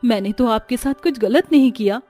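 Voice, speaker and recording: A meek young bride from an animated story, soft and pleading, as a woman voices her. Her voice sits high and light, with faint music playing behind. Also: brisk, natural voice. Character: meek, gentle young daughter-in-law.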